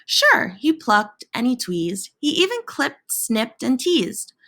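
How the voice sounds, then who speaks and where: A woman reading a children's picture book aloud in an expressive, theatrical way with dramatic pauses and emphasis. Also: fairly high pitch. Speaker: storybook reader.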